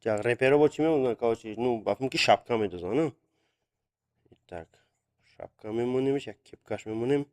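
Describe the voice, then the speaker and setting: A man's voice: mid-range voice, normal speed, pauses often, darkish tone, clean tone. A young man chatting casually on a live stream about drawing viewers' requests, speaking hesitantly with many fillers and Russian words mixed in, recorded on a phone.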